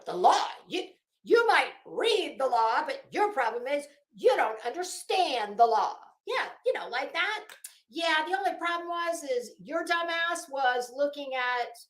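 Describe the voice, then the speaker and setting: A woman reading aloud with mocking commentary, sarcastic and ranting, swearing freely and stopping for halting, drawn-out emphasis. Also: on the high side, fairly bright tone. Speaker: commentary vlogger. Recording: roomy, echoing room sound.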